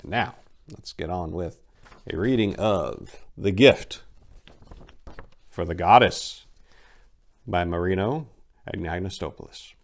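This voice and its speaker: A man narrating a story in a dramatic, theatrical way, with deliberate phrasing and emphatic swells. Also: low-pitched. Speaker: podcast host and narrator.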